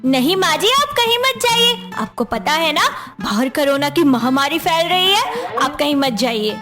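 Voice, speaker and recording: A woman's voice: high-pitched, big pitch swings, measured pace, loud music behind the voice. A woman voicing a feisty, earnest young Indian daughter-in-law in a cartoon story, with an insistent, dramatic delivery. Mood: worried, insistent. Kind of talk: Hindi moral story cartoon dialogue.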